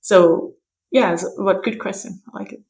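Soft-spoken woman with a gravelly fry. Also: medium pitch, normal speed. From Denmark. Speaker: podcast host. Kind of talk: interview conversation.

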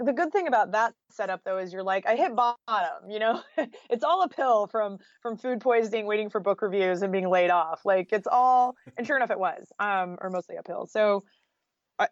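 A woman's voice: mid-range voice, no echo. Female interview guest, American, candid and informal, conversational delivery, dry and slightly muffled recording. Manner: candid, informal, peppered with "like" and "you know". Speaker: guest, freelance journalist.

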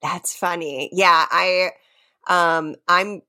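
A woman co-hosting a comedy podcast in casual chat, playful and teasing, quick to laugh. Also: lowish voice, fairly even intonation, pushed and bright, no room sound, clean studio sound. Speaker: podcast co-host.

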